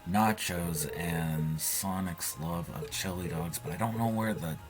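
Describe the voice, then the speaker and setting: A man giving casual gameplay commentary with some improvised role-play, speaking in a halting, hesitant way. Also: low-pitched. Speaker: video game let's player. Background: game music.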